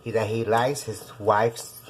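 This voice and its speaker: A woman's voice: very deep voice, steady tone, takes it slowly, slight background noise. A woman from Puerto Rico with a Puerto Rican accent, Spanish being her first language, hosting a casual home cooking show in her kitchen and chatting to her viewers as she goes. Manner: chatty, keeps calling out to viewers as guys.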